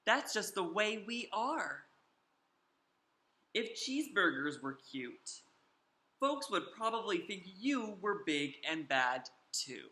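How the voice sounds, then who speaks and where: A sly storybook wolf, acted out by a man, pleading his own case to children. The delivery is slow and emphatic, with drawn-out words and big swoops in pitch, as if letting listeners in on a secret. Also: big pitch swings, sharp tone, reverberant, exaggerated voice. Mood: self-justifying, confiding. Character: sly, self-justifying male wolf telling his side. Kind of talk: animated children's storybook read-aloud.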